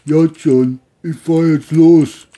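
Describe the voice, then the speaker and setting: A dopey, stubborn male cabbie from a crime audio story as put on by a narrator. His voice is extremely dark and dull in tone, and he speaks slowly and bluntly. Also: medium pitch, steady tone, voice pushed up. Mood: dopey, carefree. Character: dim, carefree, stubborn male taxi driver.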